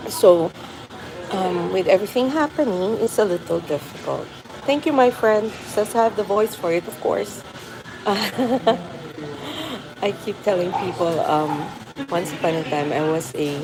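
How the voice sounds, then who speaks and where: A woman's voice: on the low side, background noise. A middle-aged woman from the Philippines chatting casually on a live stream from a coffee shop, rambling and reading out viewer comments. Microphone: phone on a mobile hotspot. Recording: crowded café chatter behind. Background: café crowd chatter.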